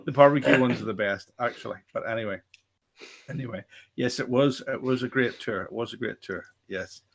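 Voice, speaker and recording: A man's voice: mid-range voice, brisk, vocal fry, fairly bright tone. A man with a Northern Irish accent co-hosting a casual chat, rambling, repeating himself and joking as he reads out viewer comments. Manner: rambling, repeats words, jokey. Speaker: co-host.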